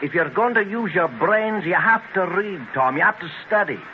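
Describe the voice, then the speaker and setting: An earnest, ambitious lad in an old radio play. His voice sits fairly high and he argues his case with conviction. The muffled 1930s recording is thick with noise. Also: animated, moderate pace. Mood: earnest, confident. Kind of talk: 1930s radio drama acting.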